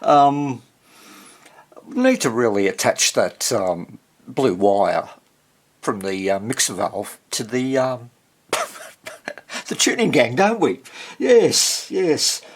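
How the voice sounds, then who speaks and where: Husky-voiced man thinking aloud. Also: medium pitch, very animated, slow, pauses often. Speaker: vintage radio repair hobbyist hosting video. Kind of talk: casual hands-on repair commentary.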